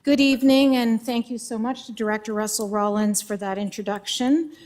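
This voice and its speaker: A woman presenting a report at a school board meeting, speaking loudly and projecting in a friendly, formal way. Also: on the high side, a little fast.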